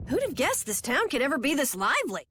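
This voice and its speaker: A woman playing an upbeat, enthusiastic youngster in a video game adventuring party, chirpy and excitable. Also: high voice, fast, slight background noise, full character voice. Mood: cheerful.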